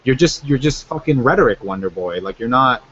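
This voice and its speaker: A man's voice: medium pitch, expressive, a little fast, a bit bright. Blunt man in his twenties. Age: 20s. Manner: blunt, swears casually. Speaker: podcast guest. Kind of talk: casual group chat. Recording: dull lo-fi sound with faint noise.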